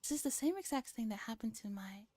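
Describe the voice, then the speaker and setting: A woman streamer reading a visual novel aloud in a hushed, dramatic ASMR style, often slipping into a whisper. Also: on the high side, fast talker, dry recording.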